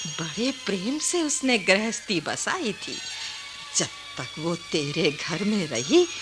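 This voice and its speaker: A woman playing a compassionate, chiding old village grandmother in a Hindi radio drama. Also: mid-range voice. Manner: emphatic, repeating, chiding.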